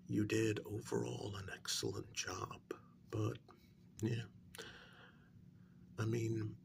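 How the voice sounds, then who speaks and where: A man playing a calm government agent interrogating a captured werewolf in a soft-spoken ASMR role-play, breathy and often near a whisper, measured and quietly coaxing. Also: lowish voice, heavily acted. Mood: measured, patronizing.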